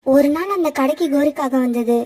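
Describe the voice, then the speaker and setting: A woman giving calm, scripted narration for a children's cartoon story. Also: high voice, brisk.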